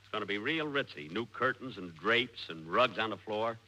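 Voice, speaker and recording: A man's voice: fairly low pitch, animated, moderate pace, pushed and bright. An American man playing a weary, chatty small-time lunchroom counterman in a 1940s radio comedy sketch. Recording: noisy old radio broadcast.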